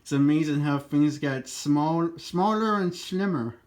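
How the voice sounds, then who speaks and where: A man in a casual two-way radio chat, speaking haltingly and often breaking off to restart his sentences. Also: on the high side, noisy background. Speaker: amateur radio operator. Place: two-way radio exchange over a repeater.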